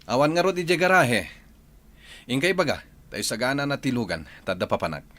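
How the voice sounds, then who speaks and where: A man's voice: medium pitch, animated, brisk, sharp tone. A man acting a bossy, impatient master of the house in a radio drama, with an Ilocano Filipino accent.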